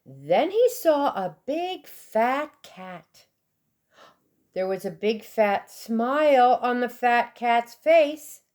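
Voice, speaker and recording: High-voiced woman reading slowly to children. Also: animated, darkish tone. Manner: deliberate, dramatic emphasis for young listeners. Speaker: storybook reader. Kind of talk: expressive children's read-aloud narration.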